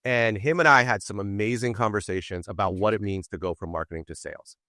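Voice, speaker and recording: A middle-aged man telling stories from his career in a casual interview, animated and acting out quoted voices. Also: on the low side, fast talker, no echo, clean studio sound. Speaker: podcast guest.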